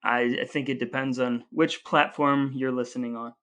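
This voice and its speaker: A man's voice: mid-range voice, flat delivery, normal speed, fairly bright tone. An American man co-hosting a conversational podcast, explaining things articulately in full sentences. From United States. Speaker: podcast co-host.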